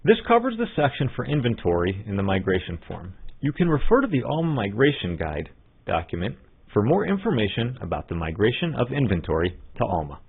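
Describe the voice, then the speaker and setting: A man giving scripted step-by-step tutorial narration as a software trainer, in an instructional manner. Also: on the low side, creaky, slightly rough. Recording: muffled narrow phone-like line.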